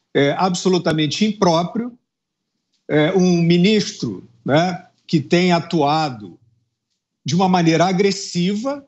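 A man's voice: high voice, dull audio. A middle-aged man giving indignant political commentary on a news show over a video call, talking with earphones from a room at home, with frequent hesitations and tag questions. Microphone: earphones with mic on a video call.

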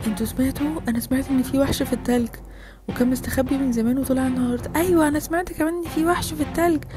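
Woman as an anxious, fast-talking Egyptian gossip. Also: high voice, steady tone, over music, close to an everyday voice. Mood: worried, gossiping. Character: alarmed, gossiping townsfolk parent spreading monster rumours. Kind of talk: children's story acting.

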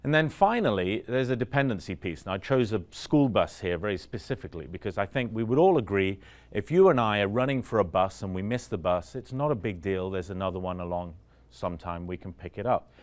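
Male speaker, 40s, low-ish voice, chatty conference talk, quizzes the room. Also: lively intonation, fairly quick, a little mellow. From Vancouver, Canada. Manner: conversational, asks the room questions, frequent "right?". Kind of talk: conference talk with audience questions. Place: conference room in front of an audience.

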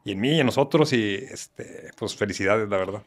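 A man's voice: on the low side, moderate pace. A man in his forties with a Mexican accent, a guest on a podcast, speaking earnestly with fillers. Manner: earnest, warm thanks, fillers like "este". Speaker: guest, notary. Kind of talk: podcast interview. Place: studio. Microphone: studio mic on boom arm.

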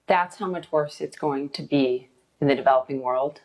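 A woman's voice: deep voice, normal speed, darkish tone, poor audio. A woman interviewing a guest on television, asking probing questions and giving short affirmations while she listens.

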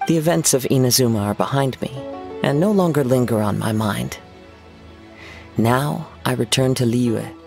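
An actor playing a gentle, poetic young wandering samurai in a video game, measured and formal in his words. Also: mid-range voice, creaky, music in the background, lightly acted. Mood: serene. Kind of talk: video game cutscene dialogue.

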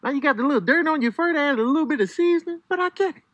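A man puts on a pestering, cocky animal for a comedy voice-over. It is high-pitched and sharp, with slangy, needling talk and a taste for food. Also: expressive, racing, no room sound. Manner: colloquial, needling.

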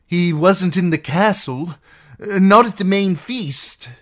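A shrill, cowardly pleading lordling. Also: male voice, animated, slow pace, a bit bright, heavily acted. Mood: anxious, eager. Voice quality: shrill, squealing. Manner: frantic, stammering, self-excusing. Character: cowardly, pleading captive lordling, adult man, whining and shrill. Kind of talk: audiobook character acting.

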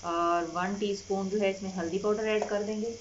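Calm woman explaining a recipe. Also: medium pitch, moderate pace, lo-fi sound, a little hiss or hum. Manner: calm step-by-step explaining with fillers. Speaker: cooking channel host. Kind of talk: recipe instruction over cooking footage. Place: home kitchen.

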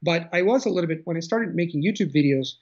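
Man, Italian accent, thirties, husky voice, home video call, muffled lo-fi audio. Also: a little high, expressive, fast talker, darkish tone. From Italy. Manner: thoughtful, restarts phrases, gestures with hands. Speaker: interview guest, polyglot. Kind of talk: casual conversation. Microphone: video call webcam.

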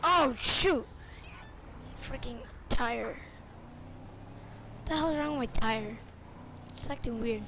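A woman's voice: on the high side, slow, pauses often, pushed and bright. A woman chatting casually and slangily while playing a roleplay video game. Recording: clearly noisy game audio, vehicle sounds.

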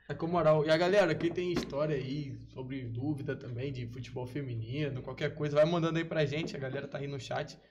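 A man in his thirties co-hosting a podcast in an upbeat, casual way, on a boom-arm studio mic. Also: moderate pace, a crowd in the background. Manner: upbeat, reads chat names aloud. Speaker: podcast host. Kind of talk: casual chat.

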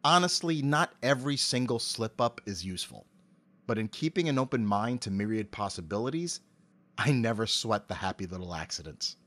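A middle-aged man giving articulate, measured explanations as a voice actor being interviewed. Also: on the low side, expressive, a bit bright.

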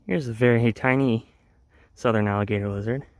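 A man giving casual, low-key narration as a wildlife vlogger filming outdoors from behind the camera. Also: fairly even intonation, fairly quick, no echo. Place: outdoors in woods and grassland. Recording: outdoor ambient noise.

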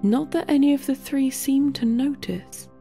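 A woman's voice: sits high, moderate pace, creaky, mellow, faint music behind. A woman reading scripted story narration for a fiction podcast.

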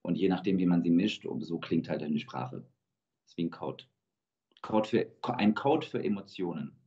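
A man in his thirties, born in Georgia with Russian as his first language, speaking as a guest singer in a thoughtful, measured way over a video call. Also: low voice, animated, mellow. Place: indoor room with plain wall. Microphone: video call webcam. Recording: dull lo-fi video call audio.

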